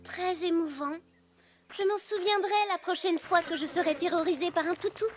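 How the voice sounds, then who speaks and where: A woman's voice: very high voice, moderate pace, soft background music. A woman playing a stubborn, sarcastic young girl in a French cartoon dub, blunt and pouty. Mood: sarcastic, defensive.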